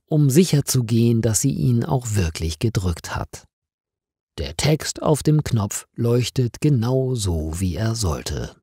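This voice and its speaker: A man narrating a scripted story for language learners in a deliberate, expressive way. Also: lowish voice, slightly rough, no echo, clean studio sound. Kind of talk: slow, clearly paced scripted story narration.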